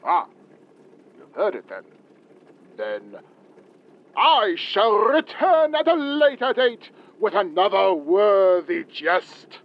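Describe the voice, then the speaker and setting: Casting: pompous lovelorn bard phoning in; unhurried, theatrical, grand, slightly muffled. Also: male voice, high-pitched, very animated, slight background noise, exaggerated voice. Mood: grandly resigned. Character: florid, theatrical male Romeo, lewd would-be poet. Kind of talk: audio roleplay acting. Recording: dull phone-in caller line.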